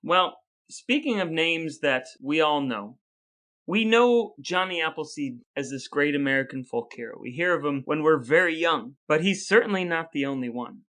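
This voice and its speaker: Lively, bright-voiced joking man. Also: fairly high pitch, normal speed. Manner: playful, quizzes and jokes. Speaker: podcast co-host. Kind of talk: casual chat.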